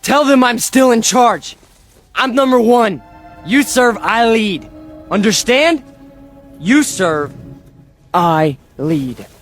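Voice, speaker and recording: A man's voice: lively intonation, slow, pauses often, heavily acted. An actor playing a cold young man, obsessed with strength and power, who grows frantic, in a vintage television drama. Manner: tense, clipped, turns shrill and frantic. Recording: vintage broadcast soundtrack with music.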